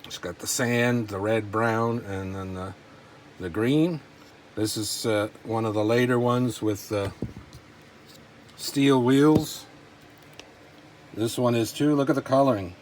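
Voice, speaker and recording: A man with a mid-range voice talks casually through items in a collection. He sounds informal and enthusiastic, rambling along without a script. There is clear noise behind his voice. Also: medium pitch, slow pace, long pauses. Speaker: collector presenting models and memorabilia. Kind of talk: casual unscripted show-and-tell narration.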